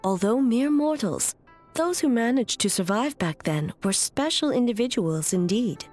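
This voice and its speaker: A woman voicing a stoic, aloof immortal shogun in a video game, speaking in a formal, grave and measured way over music. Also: fairly high pitch, animated, a little mellow, lightly acted. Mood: solemn, reflective. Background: background music.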